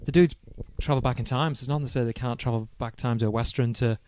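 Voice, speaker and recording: A man's voice: medium pitch, steady tone, fast, darkish tone, slight background noise. A man co-hosting a casual podcast, rambling a little and stumbling over repeated words. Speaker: podcast co-host. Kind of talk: casual chat.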